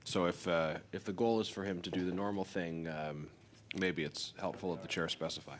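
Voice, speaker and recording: Deep-voiced, hesitant man in committee. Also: fairly even intonation, normal speed. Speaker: committee member. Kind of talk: formal point of order. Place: committee meeting room. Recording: clear background noise.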